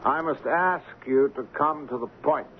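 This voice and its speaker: An actor playing a haughty, callous shipping company boss with a formal, condescending manner in an old-time radio drama. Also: male voice, fairly high pitch, normal speed, natural voice. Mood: stiff, impatient. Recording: lo-fi, noisy radio drama recording.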